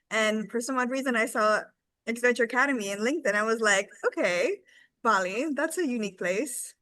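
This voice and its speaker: A woman's voice: a little high, fairly bright tone, no echo. A woman in her twenties giving an informal, earnest and enthusiastic testimonial as a team member on a webinar. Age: 20s.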